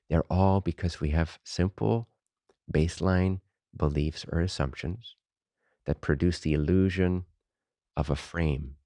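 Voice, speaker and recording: A man leading a guided meditation as a teacher, unhurried and informal, speaking in short phrases with pauses between them. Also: rumbling low, warm tone, dry recording.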